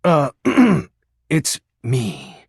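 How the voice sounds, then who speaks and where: An actor playing a sheepish, nerdy shadow being in a video game, hesitant and conversational. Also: male voice, wildly swooping pitch, drawn-out words, darkish tone, husky, dry recording, studio quality, exaggerated voice. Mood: awkward, sheepish. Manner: hesitant, low-key, conversational. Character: sheepish nerdy male shade, casual online role-player. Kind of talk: video game character acting.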